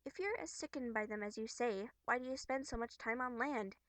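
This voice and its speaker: A high, bubbly young troll girl, acted out by a woman in an amateur webcomic voice performance. She is theatrical and expressive, scolding yet caring and earnest. The sound is close and dry. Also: a little fast, dry recording, lightly acted. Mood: scolding, teasing. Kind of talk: amateur character voice acting from a webcomic.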